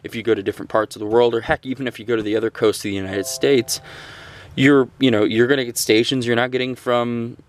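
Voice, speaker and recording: A man reviewing a gadget in a casual, unscripted, conversational and somewhat halting way. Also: medium pitch, fairly even intonation, a little hiss or hum. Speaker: gadget reviewer, host of a radio channel.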